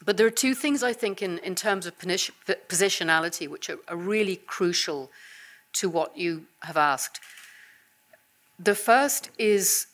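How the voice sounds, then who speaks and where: A woman's voice: fairly low pitch, unhurried, fairly bright tone. A woman with an English accent, a visiting professor, giving a seminar talk and answering questions in a thoughtful, conversational way. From England. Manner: thoughtful, conversational lecturing with frequent "uh" and "you know". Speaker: visiting professor and guest speaker. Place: university seminar room.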